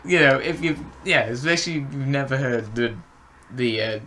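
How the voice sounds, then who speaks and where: A man in a casual review chat as a co-host, speaking in halting phrases and often repeating words. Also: mid-range voice, sharp tone, a little hiss or hum. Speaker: podcast co-host reviewing audio dramas.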